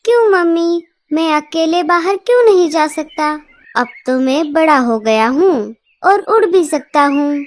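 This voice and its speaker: A woman's voice: high voice, lively intonation, unhurried. A woman voicing an eager, naive little boy bird who pleads and exclaims in a children's cartoon story. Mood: questioning. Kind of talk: Hindi cartoon story dialogue.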